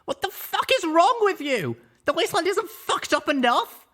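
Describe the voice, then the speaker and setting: A righteous young mare seethes with dangerous anger, acted out by a male reader. The voice sits far above a man's usual pitch and swings widely, moving between raging shouts and growled threats in a dramatic audio story. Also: big pitch swings, moderate pace, exaggerated voice. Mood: furious.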